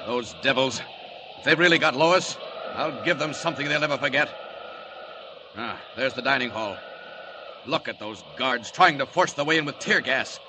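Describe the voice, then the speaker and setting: A man's voice: mid-range voice, moderate pace, long pauses, lightly acted. A man playing a bold, righteous, confident superhero who commands, in 1940s radio serial style. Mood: determined. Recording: noisy old radio serial recording.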